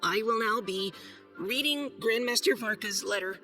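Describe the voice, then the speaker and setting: A man's voice: sits high, moderate pace, pushed and bright, heavily acted. A man voicing a timid, earnest young knight in a video game, formal and hesitant as he reads aloud. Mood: nervous, polite. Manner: hesitant, nervous, stammering, formal. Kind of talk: video game acting, reading a letter aloud. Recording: faint music behind.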